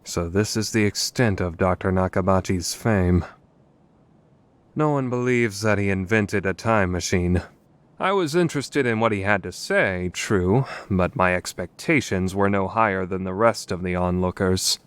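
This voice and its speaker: A man's voice: sits low, unhurried, clear, resonant tone. A man playing a paranoid, theatrical young self-styled mad scientist in an anime dub, with pompous, dramatic delivery. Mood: brooding narration. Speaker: amateur voice actor. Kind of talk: dramatic fandub performance with first-person narration.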